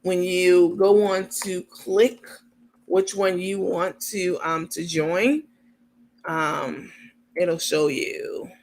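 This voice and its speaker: A man's voice: sits high, steady tone, drawn-out words. A man hosting a casual livestream, chatting with his viewers and walking them through his screen step by step, with lots of ums and an easy irritation at small annoyances. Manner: chatty, explains step by step while sharing screen; many filler ums, gets irritated at small details. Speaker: channel host.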